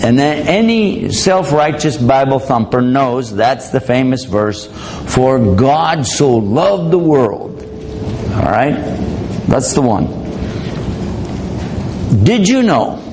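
Male lecturer, mid-pitched, casual and joking, acts out exchanges, recorded live in a hall with clear background noise. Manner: conversational, joking, acts out dialogue. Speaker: Islamic lecturer. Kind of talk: public lecture.

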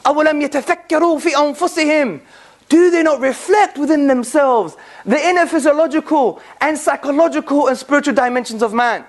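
A public speaker giving a lively talk to a live audience on faith and philosophy. The speaker fires rhetorical questions at the listeners, drops in jokey asides and recites scripture quickly. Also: high-pitched. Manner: jokey asides with bursts of laughter; recites Arabic scripture passages rapidly.

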